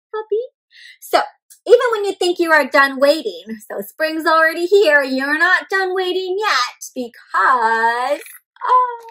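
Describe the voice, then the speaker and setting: A young woman hosting an interactive picture-book read-aloud for small children, expressive and animated, asking them questions as she goes. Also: sits high, poor audio. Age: young adult. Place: indoor room at home with plants and bookshelf.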